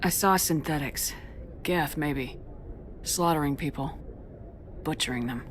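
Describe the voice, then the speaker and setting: An actress playing a resolute, composed military commander in a video game, with controlled, direct delivery. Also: female voice, deep voice, a little slow, a little hiss or hum. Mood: troubled. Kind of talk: dramatic video game dialogue.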